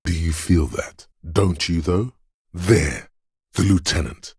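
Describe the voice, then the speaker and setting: A man voicing the menacing inner voice of a detective's adrenaline and fear in a video game, narrating in the second person with brooding, profane intensity and a husky, gravelly tone. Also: deep voice, animated, a little mellow, dry recording, clean studio sound, exaggerated voice. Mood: simmering, suspicious. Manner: brooding, deliberate, ominous, profane.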